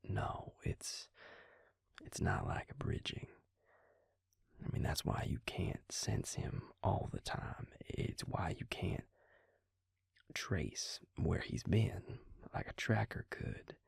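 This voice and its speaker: Man playing a male vampire, patient, grave, half-whispered, very slow, hesitant, intimate audio roleplay, close and dry. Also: very animated, no room sound, full character voice. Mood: gentle, explaining. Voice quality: husky, breathy, gravelly low voice. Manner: hushed, hesitant, deliberate, with pauses. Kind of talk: intimate scripted audio roleplay, speaking to listener.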